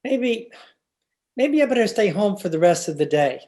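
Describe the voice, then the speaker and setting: A man's voice: sits high, sing-song, moderate pace, warm tone. A man playing an earnest, worried husband, hesitant and exasperated, in old-time radio comedy style.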